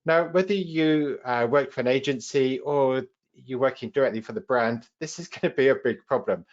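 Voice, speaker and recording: A man's voice: medium pitch, moderate pace. A man with a British accent hosts a live online training session, explaining things in an informal, practical way as the trainer. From United Kingdom. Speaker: webinar host and trainer. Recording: slightly dull webinar audio.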